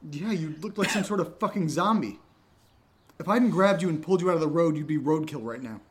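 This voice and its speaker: A man's voice: a little high, quick pace, natural voice. An actor playing an alarmed, impatient young man in a naturalistic horror audio drama, questioning insistently. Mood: frantic, angry.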